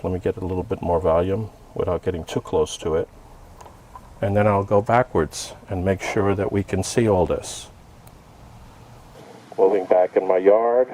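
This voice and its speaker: A man with a fairly low, very mellow voice talks casually through a hands-on product test. He thinks aloud in short, step-by-step remarks. The recording is made outdoors, with faint noise behind him. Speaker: product reviewer. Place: outdoor backyard.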